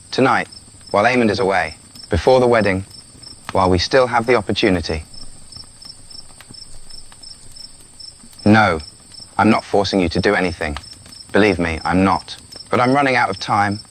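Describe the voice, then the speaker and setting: A man's voice: lowish voice, moderate pace, a bit bright, a little hiss or hum, natural voice. A man with a British accent playing a restless, rebellious young prince in an intimate, sardonic audio roleplay. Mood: urgent, pleading. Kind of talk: scripted audio roleplay addressed to the listener.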